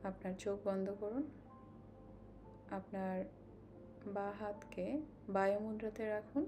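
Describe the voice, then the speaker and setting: A woman guides a meditation slowly and calmly, giving instructions with long pauses. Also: mid-range voice, over music. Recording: clearly noisy, ambient sound behind voice.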